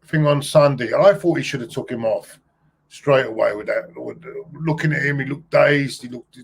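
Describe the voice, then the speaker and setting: Halting male football talk host. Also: mid-range voice, husky. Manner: halting, many fillers, asks guest questions. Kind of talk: casual football chat, reading news aloud.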